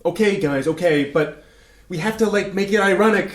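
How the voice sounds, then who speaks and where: A man's voice: high voice, normal speed, close to an everyday voice. A man playing a hip, ironic young city man in a scripted staged reading. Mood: casual, hedging. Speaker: actor in a staged play reading. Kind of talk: scripted community theatre acting. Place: indoor playhouse staged reading. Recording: echoey room with background noise.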